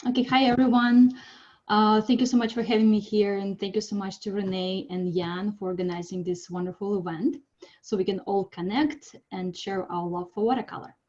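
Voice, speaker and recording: A woman from Siberia, giving a friendly, chatty artist's talk on a video call, pausing with ums. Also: medium pitch, steady tone, darkish tone, highs cut off. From Siberia, Russia. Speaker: presenting artist. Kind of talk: informal online presentation.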